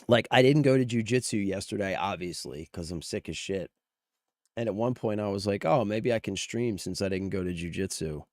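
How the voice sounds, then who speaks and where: Male livestream host, informal commentary, lower register, under the weather with a strained voice, dry recording. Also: normal speed, no room sound. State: sick, voice strained from near loss. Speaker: live streamer host. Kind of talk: casual livestream commentary on gaming news.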